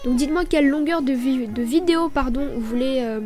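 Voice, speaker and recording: A woman with a high voice narrates a casual vlog voice-over while stuffy from a cold. She stammers and hesitates often, filling gaps with drawn-out fillers. Light music plays quietly behind her. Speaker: small business vlogger.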